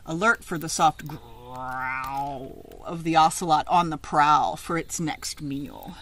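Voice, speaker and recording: A middle-aged woman reads a children's picture book aloud outdoors, with expressive, emphatic, sing-song phrasing. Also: sits low, slow pace, a little hiss or hum. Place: outdoors in a leafy garden.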